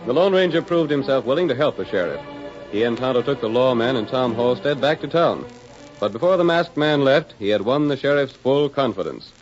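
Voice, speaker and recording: A man's voice: mid-range voice, lively intonation, a little fast. A man narrating an old-time radio western drama as a scripted storyteller. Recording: dull vintage radio recording. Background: music.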